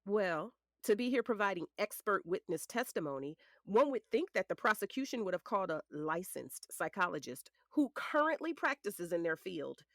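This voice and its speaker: A woman's voice: mid-range voice, very animated, moderate pace, few pauses, fairly bright tone, dry recording, studio quality. A woman playing a polished defense attorney in a courtroom drama, formal, methodical and pointed. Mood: pointed, cutting. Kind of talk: audio drama acting.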